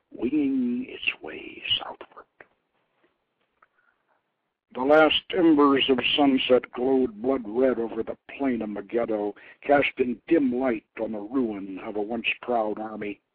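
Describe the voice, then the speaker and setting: A man reading his own legend story aloud as a radio host, in a measured, dramatic narration. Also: medium pitch, slight background noise. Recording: tinny phone-line radio recording.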